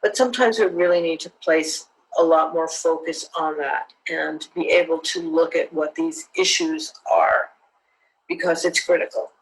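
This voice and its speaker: A woman and self-defense teacher, speaking as a guest in an online interview in an earnest, measured, motivational way. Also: deep voice, steady tone, poor audio.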